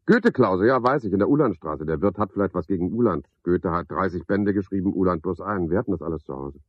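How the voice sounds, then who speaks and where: An actor playing a garrulous, pompous, sardonic porter at a boarding house, with a husky voice, in a radio play monologue. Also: male voice, sits low, lively intonation, fast, no room sound, muffled recording. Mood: officious, wry. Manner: rambling, pompous monologue with mocking asides. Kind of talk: 1970s German radio play acting.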